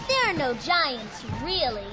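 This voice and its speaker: A woman's voice: sits high, sing-song, measured pace, over music. A female performer playing a precocious, matter-of-fact little girl in an audio drama. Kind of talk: full-cast audio drama acting. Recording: dull, lo-fi sound.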